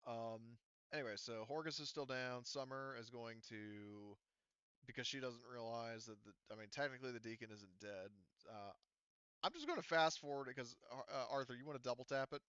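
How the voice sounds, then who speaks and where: A man's voice: medium pitch, moderate pace, a bit bright, clear, resonant tone, dry recording, muffled recording. A man acting as game master, casually narrating a tabletop roleplaying game, often stopping to correct himself.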